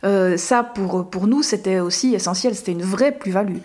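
A woman's voice: medium pitch, normal speed, a little mellow. A woman who is a workshop organizer, explaining earnestly with frequent hesitations. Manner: earnest, many fillers.

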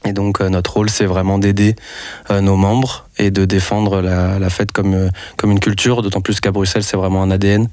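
A man's voice: low voice, little pitch movement, brisk, a little mellow, no echo. A young man from France, a festival organizer speaking as a radio interview guest, hesitant with many restarts. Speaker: festival organizer and network coordinator.

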